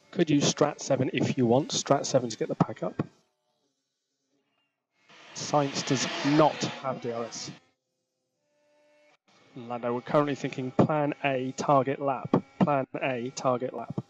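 A man working as a race engineer, giving measured, clipped, often repeated instructions over team radio. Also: medium pitch, a little mellow. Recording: noisy team radio link with clicks.

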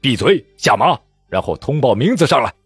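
A man voicing a calm, cold rebel leader who speaks in a stern, measured, husky voice. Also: mid-range voice, dry recording, faint music behind, pitched above the performer's own voice.

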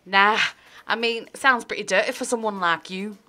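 A woman's voice: medium pitch, normal speed, bright, forceful tone, natural voice. An actress playing a cheeky, streetwise young woman who teases and talks in slang. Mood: teasing.

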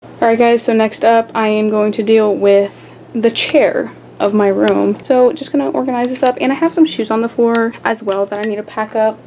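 A woman's voice: on the high side, steady tone, normal speed, warm tone, slight background noise. A young woman chatting to her viewers in a casual vlog, giggly and talkative, recorded on a handheld camera in a small bedroom. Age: young adult. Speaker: vlogger.

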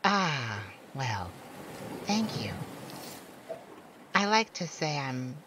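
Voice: medium pitch, very slow. A performer voicing a proud, lonely male siren in a scripted ASMR roleplay, speaking theatrically and teasingly to one listener. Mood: playful, intrigued. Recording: steady background noise of beach ambience. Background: beach and ocean sounds.

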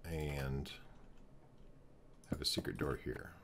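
A man's voice: rumbling low, fairly even intonation, slow pace, a bit bright, a little hiss or hum. A young man streaming a building game from home, thinking aloud as he plans rooms and greets his chat, his voice wearing out. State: losing his voice. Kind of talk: live gameplay commentary.

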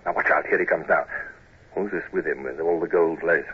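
An actor playing a serious, brooding British naval commodore, earnest and formal, in a 1950s radio drama. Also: male voice, a bass voice, fairly quick, breathy edge, heavily acted. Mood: confiding, wary. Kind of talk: 1950s radio drama acting and first-person narration. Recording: noisy, dull old radio recording.

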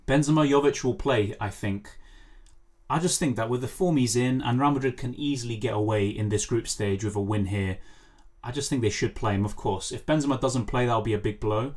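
Hoarse man streaming with a lost voice. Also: mid-range voice. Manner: apologetic, rambling, answers chat questions. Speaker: fantasy football streamer. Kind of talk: casual live stream chat, reading comments. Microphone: faulty microphone.

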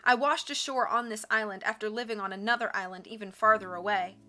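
A woman's voice: medium pitch, fairly even intonation, quick pace, sharp tone, natural voice. A woman narrator voicing a defiant, adventure-hungry islander who challenges the leader.

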